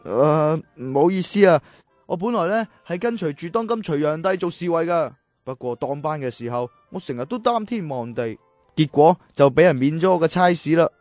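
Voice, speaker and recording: Guy doing a young ancient chinese scholar, kinda timid n earnest, higher voice, radio play w soft music. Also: lively intonation, moderate pace, no room sound, lightly acted. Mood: earnest, polite. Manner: earnest, hesitant. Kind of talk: radio drama acting.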